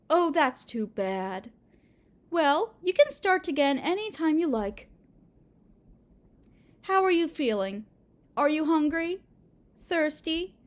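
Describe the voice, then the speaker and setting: A woman playing a doting, possessive Mother Earth goddess in a roleplay audio drama, sweet and cooing as if to a small child, with gentle menace. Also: sits high, heavily acted. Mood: soothing.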